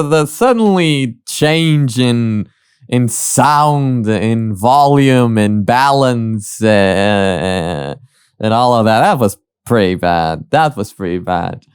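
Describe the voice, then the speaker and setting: A man's voice: mid-range voice, lively intonation, slow pace, smooth, no echo. A man hosting a reaction video with casual commentary, rambling and often repeating his phrases.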